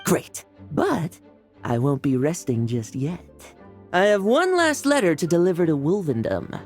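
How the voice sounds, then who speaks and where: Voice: mid-range voice, big pitch swings, a little slow, a little mellow, faint music behind. A voice actor voicing a polite, eager traveling knight messenger.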